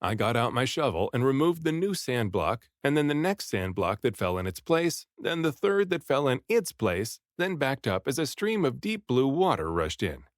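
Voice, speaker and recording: A man reads an adventure novel aloud as an audiobook narrator, with lively swings in pitch and a dramatic touch. His mid-pitched voice is recorded close and dry. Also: moderate pace, few pauses, no room sound. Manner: dramatic, animated storytelling. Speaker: audiobook reader. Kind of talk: expressive first-person storybook narration.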